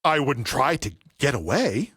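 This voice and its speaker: An actor playing a vengeful, grieving middle-aged father in an audio play, speaking with deliberate, theatrical menace. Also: mid-range voice, sing-song, a bit bright, slightly rough, dry recording, studio quality, heavily acted. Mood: quietly menacing. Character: vengeful grieving father, a barber settling an old debt.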